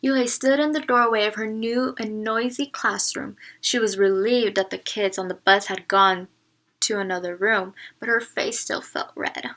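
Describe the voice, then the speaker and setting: A woman teacher reading a picture book aloud, narrating and pausing to explain it to young listeners. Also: mid-range voice, steady tone, a bit bright.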